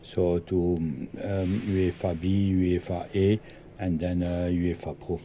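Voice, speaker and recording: A man from Belgium, a football coach, answering interview questions in halting full of ums and loose grammar. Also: sits low, flat delivery, warm tone, slight background noise.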